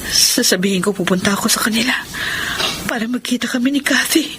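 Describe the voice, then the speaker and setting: A woman with a Filipino accent playing a soft, earnest family woman in a radio drama. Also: on the high side, fast talker, noisy background, close to an everyday voice. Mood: remorseful. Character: loving mother, or repentant grown daughter.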